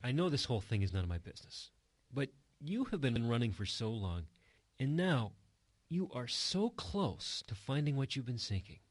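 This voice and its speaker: An actor playing a gentle, encouraging bartender in a radio drama, speaking earnestly. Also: male voice, mid-range voice, big pitch swings, normal speed, no echo. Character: kindly, encouraging bartender and good listener.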